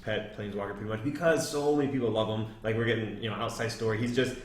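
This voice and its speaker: A man's voice: on the low side, fairly even intonation, quick pace. A man chatting casually and explaining things in a conversational way. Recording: echoey room.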